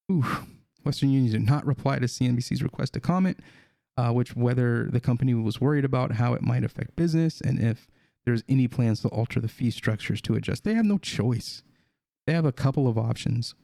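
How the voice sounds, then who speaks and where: A man hosting a video, reading a news article aloud and adding his own comments, halting with fillers and restarts. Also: mid-range voice, vocal fry, warm tone, no room sound, clean studio sound. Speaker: crypto video host.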